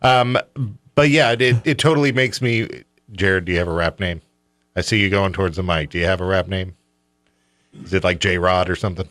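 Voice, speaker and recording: Man, radio host, lower-pitched voice, close dry sound, casual joking chat. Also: brisk, fairly bright tone, no room sound.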